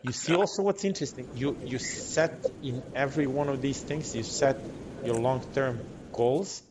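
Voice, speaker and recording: A man's voice: medium pitch, moderate pace. A man from Montenegro, a restaurant owner, speaking as a podcast guest in English, effusive and thankful. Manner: warm, grateful, effusive. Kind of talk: casual interview talk. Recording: audible background noise.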